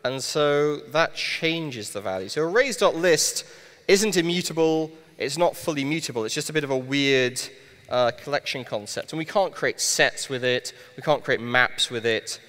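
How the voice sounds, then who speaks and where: A man's voice: fairly high pitch, moderate pace, fairly bright tone. A man from Wales in his thirties, giving a live-coded tech talk on a conference stage with a headset mic, explaining with dry asides. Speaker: conference speaker live-coding. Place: large conference hall stage. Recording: long hall reverb.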